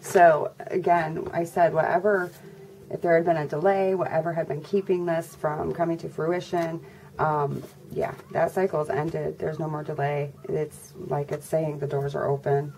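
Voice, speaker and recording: A congested woman giving a casual tarot reading to her viewers in a flat, monotone way. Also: moderate pace. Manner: monotone, low-energy delivery. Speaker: tarot reader. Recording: noisy recording with birds chirping. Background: birds and background noise.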